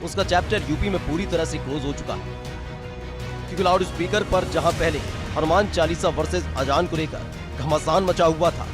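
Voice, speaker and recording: A man reading a scripted news report as a voice-over, with a punchy, emphatic and dramatic delivery. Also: fairly high pitch. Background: music bed.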